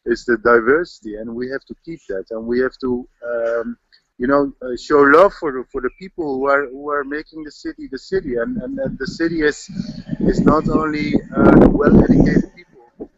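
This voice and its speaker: A man from the Netherlands with a Dutch accent, answering interview questions in a halting way, often repeating words while searching for them. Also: a little high, big pitch swings, vocal fry. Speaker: local resident. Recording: dull lo-fi audio.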